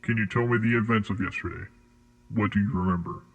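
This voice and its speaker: An actor in an audio drama playing a cold, composed, mysterious male interrogator, measured, formal and menacingly polite. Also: fairly low pitch, steady tone, a bit bright, poor audio. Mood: controlled, probing.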